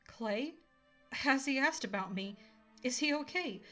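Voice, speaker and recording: A woman playing a fierce, lonely young fire dragon with a hot temper in an audio drama, forceful and defensive. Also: sits high, expressive, a little slow, faint music behind, exaggerated voice. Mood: anxious, hopeful.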